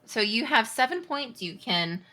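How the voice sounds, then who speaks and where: Bright-voiced woman running a tabletop game. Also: on the high side, animated, unhurried. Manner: informal phrasing full of "like". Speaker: game master. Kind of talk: narrating and refereeing a tabletop game.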